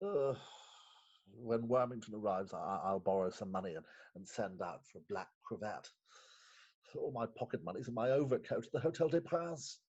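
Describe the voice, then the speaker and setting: An actor playing a flustered, guilty, respectable magistrate in a theatrical comic reading, stammering and hesitant with a husky voice. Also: male voice, fairly low pitch, expressive, normal speed, a little mellow. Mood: weary, self-reproaching. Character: flustered, guilt-ridden, respectable London magistrate, disheveled after a night out. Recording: dull lo-fi remote recording.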